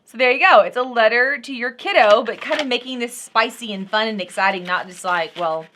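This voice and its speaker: A woman's voice: fairly high pitch, a little fast, bright, forceful tone, slight background noise. A woman in her thirties giving a casual, unscripted product review as a vlog host, talking to viewers in an informal, folksy way.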